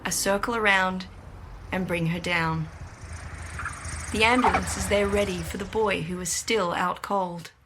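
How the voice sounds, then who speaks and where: Actress as gutsy woman pilot over loud engine noise. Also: lowish voice, fairly even intonation, unhurried, pauses often, natural voice. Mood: subdued, reflective. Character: young gutsy woman pilot, tense and determined, recounting ordeal. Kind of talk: dramatic first-person narration, audio drama acting.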